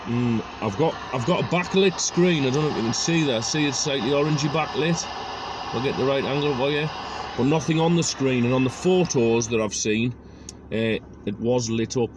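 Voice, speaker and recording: A man's voice: medium pitch, moderate pace. A man giving a casual hands-on DIY tutorial, talking through each step and thinking aloud as he works. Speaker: presenter of a DIY car project video. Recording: noisy amateur recording with clicks.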